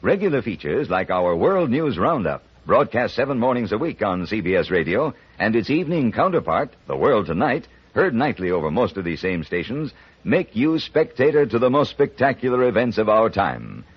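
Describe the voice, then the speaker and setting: A man's voice: lowish voice, very animated, moderate pace, husky. An American man reading a scripted mid-century radio promo as a network announcer, polished and emphatic. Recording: muffled old radio recording.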